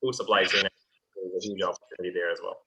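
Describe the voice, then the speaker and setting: A man's voice: low-pitched, level tone, quick pace, pushed and bright, dull audio. A man, a startup founder, answering investor questions over a video call, hesitant with many fillers and restarts.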